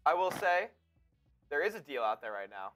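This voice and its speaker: A man's voice: a little high, sing-song, normal speed, a bit bright, faint music behind. A man co-hosting a stream, chatting casually with a nasal, congested voice, rambling and joking with many restarts. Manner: rambling, joking, self-interrupting. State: congested from allergies. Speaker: co-host. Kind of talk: casual chat.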